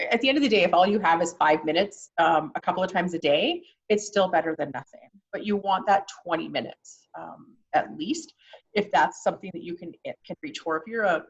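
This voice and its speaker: A woman's voice: medium pitch, muffled recording. A Canadian woman presenting an informal online workshop in a conversational, encouraging way. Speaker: workshop presenter on mental health.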